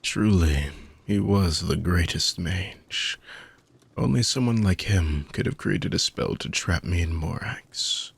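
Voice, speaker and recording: A man playing an ancient, weary dark mage villain in a fantasy audio roleplay, husky and gravelly, speaking theatrically with long dramatic pauses. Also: sits low, heavily acted. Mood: mournful, resigned. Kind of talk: fantasy audio roleplay monologue addressed to listener.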